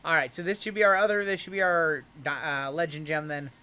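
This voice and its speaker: Man, card break host, hyped and joking, voice going hoarse, high and bright. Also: fairly quick. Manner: hyped, joking, calls out cards as they are pulled. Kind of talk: livestream card break commentary.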